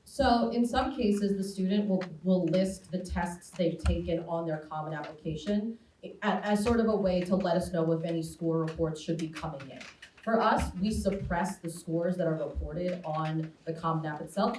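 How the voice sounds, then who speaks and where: A woman's voice: lowish voice, moderate pace, a little mellow, slight background noise. A woman working as a college admissions counselor, answering questions on a panel in a friendly, chatty way with frequent ums. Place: school auditorium. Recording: echoey hall, distant mic.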